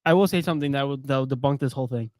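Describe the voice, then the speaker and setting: A man's voice: medium pitch, racing, no room sound, clean studio sound. A man co-hosting a casual sports chat, joking and rambling as he tells stories. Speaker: podcast co-host.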